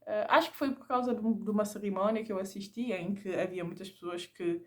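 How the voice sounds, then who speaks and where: A woman's voice: medium pitch, fairly even intonation, a little fast. A Cape Verdean woman whose first language is Creole, speaking Portuguese with a Cape Verdean accent in a reflective, casual vlog, thinking aloud and often repeating words as she searches for them. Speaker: vlogger.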